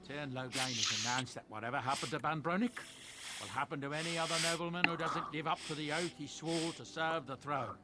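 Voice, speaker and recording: An actor playing a wry, world-weary travelling merchant in a fantasy video game, passing on rumors in a measured, matter-of-fact way. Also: male voice, fairly high pitch, over a noisy crowd, close to an everyday voice. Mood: grave, resigned. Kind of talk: video game dialogue acting. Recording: game dialogue with music underneath. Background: game music.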